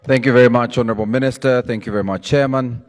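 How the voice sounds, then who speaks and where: A man's voice: mid-range voice, steady tone, fast talker. A man makes formal, courteous announcements as a ceremonious master of ceremonies. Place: large conference hall. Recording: reverberant hall over PA.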